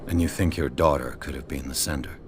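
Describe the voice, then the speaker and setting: Very deep-voiced jaded private eye. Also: male voice, a little fast. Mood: probing. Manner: terse, blunt, weary. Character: jaded, drunken private investigator, weary and curt. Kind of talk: video game voice acting, noir detective drama. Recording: clear background noise under dialogue.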